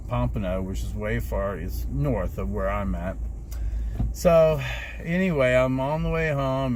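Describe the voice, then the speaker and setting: A man of fifty telling a rambling story to his viewers, with plenty of fillers, and acting out the voices of the people he quotes. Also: mid-range voice. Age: 50s. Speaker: rideshare driver vlogger. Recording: noisy street and traffic background.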